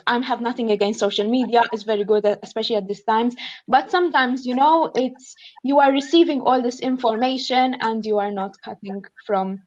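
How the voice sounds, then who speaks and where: A woman's voice: a little high, no echo. Earnest young Maltese woman, dull call audio. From Malta. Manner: earnest, thinking aloud with many fillers. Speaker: student panelist. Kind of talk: online panel talk, reading out notes. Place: shared flat, at home. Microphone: computer mic on a video call.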